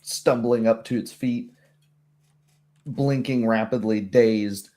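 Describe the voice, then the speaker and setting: A man's voice: mid-range voice, steady tone, slow pace, dark tone. A man narrating a tabletop roleplay as game master, with dramatic, descriptive storytelling.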